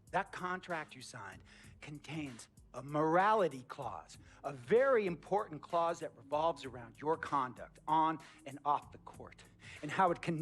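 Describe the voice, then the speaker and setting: An actor playing a flamboyant, bullying billionaire who owns a basketball team, theatrical and preachy, in a video game cutscene. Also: male voice, a little high, slightly rough, full character voice. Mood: menacing, calm. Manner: theatrical, preachy, builds from hushed to shouting.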